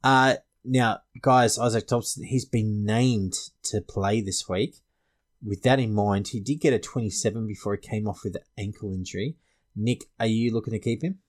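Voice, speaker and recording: A man's voice: fairly low pitch, fairly even intonation, normal speed. A man with an Australian accent hosting a casual fantasy sports panel discussion. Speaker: podcast host.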